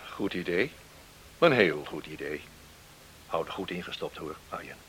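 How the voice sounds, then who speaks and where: An actor playing a calm, grave village doctor in a Dutch radio drama, measured and reassuring. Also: male voice, sits low, poor audio. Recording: hissy old radio drama recording.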